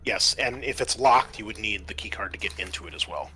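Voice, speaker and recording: A man's voice: lowish voice, a little fast, pushed and bright, slightly rough. A man acting as game master, explaining the rules and setting of a tabletop game in a conversational way. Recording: faint background noise.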